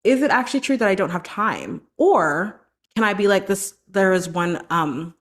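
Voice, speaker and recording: A woman's voice: mid-range voice, lively intonation, moderate pace, fairly bright tone. A woman hosting her own podcast as a vocal coach, talking alone in a chatty, encouraging way that is full of "like" and "right?". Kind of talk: solo podcast monologue.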